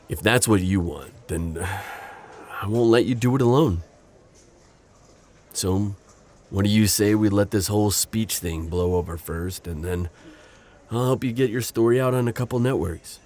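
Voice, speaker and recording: A man playing a gentle, protective civilian friend in an audio drama, earnest and hesitant with a slight stammer. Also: on the low side, unhurried, long pauses. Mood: caring, earnest. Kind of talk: naturalistic audio roleplay drama acting.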